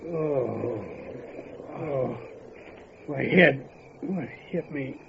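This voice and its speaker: A man playing a steady, wary mountain sheriff in an old-time radio horror drama, plain-spoken and grave. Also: mid-range voice, extremely slow, creaky. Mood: dazed. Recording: muffled, faintly noisy lo-fi radio recording.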